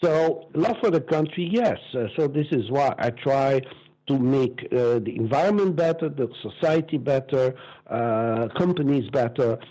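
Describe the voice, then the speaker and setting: Older man, Belgian accent, mellow husky voice, animated interview storytelling, emphatic, repeats himself. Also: mid-range voice, normal speed, poor audio. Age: 60s. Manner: emphatic, opinionated, repeats words. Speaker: interview guest.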